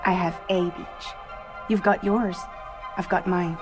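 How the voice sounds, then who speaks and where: An actress playing a weary, determined woman courier in a video game, speaking in intense, deliberate, dramatic phrases. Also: on the low side, steady tone, lightly acted. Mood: explaining, weary. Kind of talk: cinematic game acting. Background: music.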